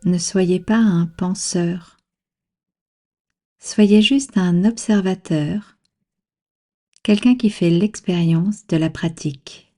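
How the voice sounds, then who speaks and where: A woman guiding a relaxation practice as a meditation instructor, speaking calmly and soothingly in measured instructions with long pauses. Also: on the low side. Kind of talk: guided yoga nidra relaxation.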